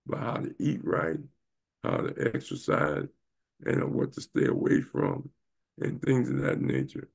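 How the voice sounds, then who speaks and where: A man's voice: medium pitch, a little mellow. A man in his seventies hosting an interview over a video call, talking in an emphatic, wandering way with many fillers. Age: 70s. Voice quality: gravelly, husky. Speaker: show host and professor. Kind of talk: casual interview talk.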